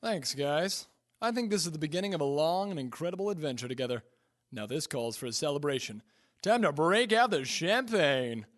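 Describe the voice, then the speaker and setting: An actor playing a cocky, reckless adventurer in a radio comedy, smug and full of quips. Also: male voice, fairly high pitch, lively intonation, brisk, fairly bright tone. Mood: self-satisfied. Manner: smug, quipping, swaggering. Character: cocky, reckless male adventurer hero, self-satisfied.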